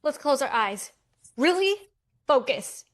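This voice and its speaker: An actress as an anxious millennial twin with a fairly high voice, reading a romcom script. She is practical and insistent, earnest in a dramatic way and easily exasperated. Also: very animated, slow, noisy background, exaggerated voice. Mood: focused, anxious. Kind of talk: table read of romcom script.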